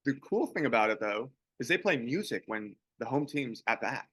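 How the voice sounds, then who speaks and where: A young man who plays pro baseball, co-hosting a podcast and chatting in a relaxed, rambling way. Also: mid-range voice, moderate pace, slightly rough, no room sound. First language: English. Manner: relaxed, rambling, many restarts. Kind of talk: casual podcast chat.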